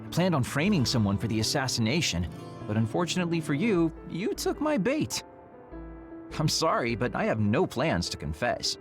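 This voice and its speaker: A man's voice: fairly high pitch, huge pitch swings, moderate pace. A man voicing a flippant double-agent assassin in a video game, glib and teasing. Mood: glib, smug. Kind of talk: video game cutscene acting. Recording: music behind the voice.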